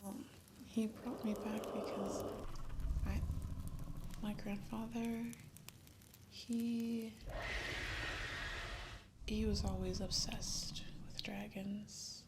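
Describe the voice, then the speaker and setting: A woman playing a gentle, wistful young dragon rider, telling her past in a breathy, halting ASMR whisper. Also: mid-range voice, level tone, very noisy. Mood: wistful, hushed. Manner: slow, halting, hushed, intimate. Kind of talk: whispered ASMR roleplay storytelling. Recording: loud ambient nature sound throughout. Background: campfire and night nature ambience.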